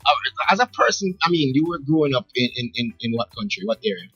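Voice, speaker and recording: A man hosting a radio interview, lively and quick to laugh, echoing back his guest's answers. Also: mid-range voice, slightly rough, muffled recording, soft background music. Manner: animated, laughs often, repeats guest's words. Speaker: radio show host.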